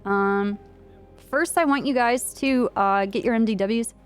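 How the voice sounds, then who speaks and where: A woman's voice: a little high, a little slow, clear, resonant tone, faint music behind. A woman leading an improvised roleplay briefing in an online game, talking casually as she explains things to a group. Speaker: streamer playing a police trooper in online roleplay, leading a meeting.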